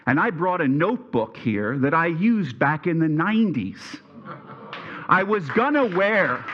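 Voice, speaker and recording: Man, Pennsylvania Dutch accent, middle-aged, nervous and stumbling, fairly high voice, dull sound. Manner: nervous, stumbling over words. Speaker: alumni speaker. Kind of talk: prepared speech at a reunion. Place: reunion event hall.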